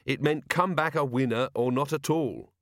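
A female watch officer, voiced by a male audiobook reader. She is terse and dry, with a clipped, deadpan delivery. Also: medium pitch, normal speed, no room sound, voice pushed up, close to an everyday voice.

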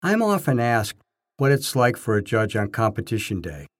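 A man's voice: mid-range voice, big pitch swings, normal speed. A man reading scripted first-person narration, telling his own experiences as a narrator. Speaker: narrator, bodybuilding judge.

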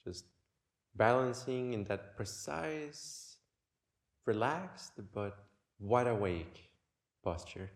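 A man leading a guided meditation in a gentle, unhurried way, leaving long pauses between short phrases. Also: mid-range voice, expressive. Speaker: meditation teacher.

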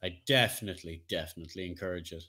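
A man with a slightly deep voice talks as the co-host of an informal podcast. He is chatty and jokey, speaking like a streamer in relaxed conversation. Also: very fast. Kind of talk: casual podcast chat.

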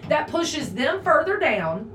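A woman's voice: sits high, sing-song, takes it slowly, fairly bright tone. A woman in her forties talking sports in casual chat, opinionated and emphatic, going on at length. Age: 40s. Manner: opinionated, emphatic, talks in long runs. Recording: roomy echo, a bit far from the mic, faint noise.

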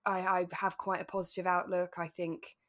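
A woman's voice: fairly low pitch, level tone, normal speed, a bit bright. A British woman co-hosting a podcast, chatting casually and thoughtfully, explaining her points in an agreeable way. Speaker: podcast co-host. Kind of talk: casual chat discussing a radio drama.

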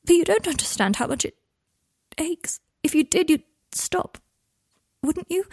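A woman's voice: very high-pitched, measured pace, darkish tone, dry recording, voice pushed up. A woman voicing a heartbroken, proud young man who is hurt and bitter, his voice rising and close to tears. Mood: anguished.

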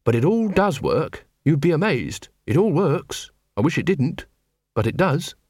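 Man as young constable, guileless, polite, slightly raised pitch, storybook character, dry close sound. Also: big pitch swings, darkish tone, breathy edge, dry recording, full character voice. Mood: matter-of-fact. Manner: polite, mild, unhurried. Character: earnest young male constable, calm, cheerful, guileless.

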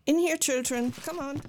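An actress playing a hostile, protective frontier woman of the 1890s in a period drama, curt and scornful. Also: sits high. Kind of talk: period film drama acting.

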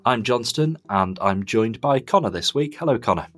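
A man's voice: mid-range voice, animated, moderate pace, fairly bright tone. A man hosting a podcast, chatting casually and enthusiastically as he gives his reviews. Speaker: podcast host.

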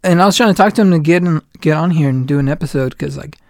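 A man's voice: fairly high pitch, quick pace, no room sound. A man in his late twenties hosting a casual podcast chat, rambling along with lots of "like" and retold quotes. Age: 20s. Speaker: podcast host.

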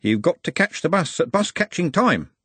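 Casting: cheery, worldly coach driver, wry patter, swinging pitch, voiced by a man. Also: very animated, normal speed, husky, dry recording, heavily acted. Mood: cheerful. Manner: chatty and wry, with a raised, bright delivery. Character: cheerful, worldly middle-aged male night-bus driver.

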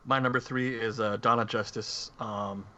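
A man's voice: on the low side, steady tone, normal speed, pushed and bright. A man in a casual movie discussion as a podcast panelist, speaking in hesitant, meandering phrases. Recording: dull lo-fi mic, faint noise.

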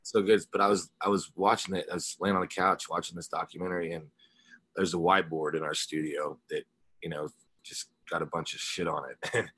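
Low-voiced man telling casual stories. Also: fairly even intonation, fairly quick, fairly bright tone, slightly rough, no room sound. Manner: informal, rambling storyteller. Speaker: musician interview guest, rock singer and guitarist. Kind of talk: casual chat, telling anecdotes.

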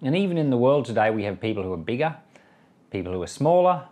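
A man's voice: medium pitch, sing-song, fast, a little mellow. A man speaking as a pastor, giving informal Bible commentary and prayer in an earnest, explanatory, conversational way. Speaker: pastor and Bible podcast host.

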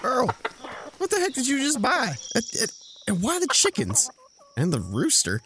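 A man playing a practical, protective brother in an audio drama, gravelly and wry, explaining things with incredulous patience. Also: extremely high pitch, wildly swooping pitch, normal speed, noisy background, full character voice. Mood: exasperated.

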